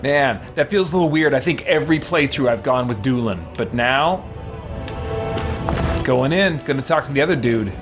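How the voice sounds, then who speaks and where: A man's voice: mid-range voice, expressive, normal speed. A man giving casual livestream game commentary, thinking aloud in a hesitant way. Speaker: game streamer. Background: faint game music.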